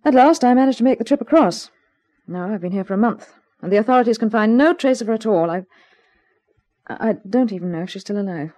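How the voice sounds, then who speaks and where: A woman's voice: medium pitch, animated, brisk, dark tone, lightly acted. An actress playing an anxious, well-bred Englishwoman in a 1950s radio mystery, speaking politely and earnestly with some hesitancy. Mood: desperate. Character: anxious, well-bred Englishwoman, glamorous client seeking help.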